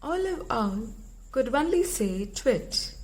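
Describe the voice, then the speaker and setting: Slow-paced, higher-pitched woman reading a story. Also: sing-song, a little mellow. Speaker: children's story reader. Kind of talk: storybook read-aloud for young children.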